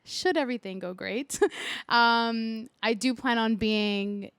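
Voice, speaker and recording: A woman singer from Canada with a loud, projecting voice, chatting casually as an interview guest. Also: a little high, takes it slowly, clean tone, no room sound, studio quality.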